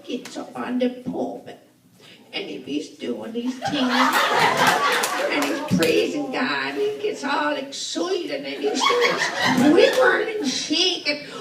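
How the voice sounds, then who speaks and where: A woman's voice: high-pitched, sing-song, takes it slowly, vocal fry, a loud crowd, heavily acted. Woman playing a giggly old church lady. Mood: gushing. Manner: chatty, confiding, giggly, folksy dialect. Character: gossipy older churchgoing woman confessing to God. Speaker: comedian. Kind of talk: stand-up character monologue. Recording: echoey live stage from far off. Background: audience laughter and noise.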